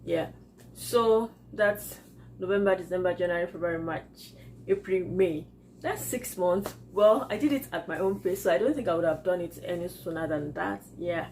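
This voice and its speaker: A woman's voice: fairly low pitch, normal speed, a little mellow, a little hiss or hum, rough recording. A woman with a Nigerian accent, whose first language is Yoruba, chatting casually in a vlog and laughing often as she answers viewer comments. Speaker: vlogger.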